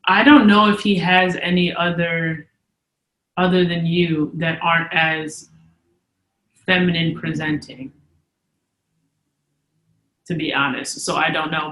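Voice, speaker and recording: A woman's voice: on the low side, a bit bright, echoey room, poor audio. A young woman co-hosting a casual podcast who speaks candidly and emphatically and says "like" a lot. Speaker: podcast co-host.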